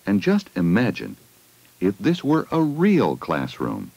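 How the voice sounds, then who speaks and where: Man, low pitch, animated intonation, educational narrator, addressing children, old 16mm film sound. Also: slow, gravelly, slightly rough, dry recording. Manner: addresses children with simple questions.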